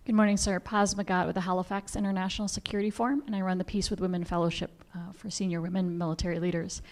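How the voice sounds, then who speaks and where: A woman's voice: on the low side, flat delivery, fast, darkish tone. A woman in the audience politely asking an articulate, formal question at a forum. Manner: polite, articulate, some fillers.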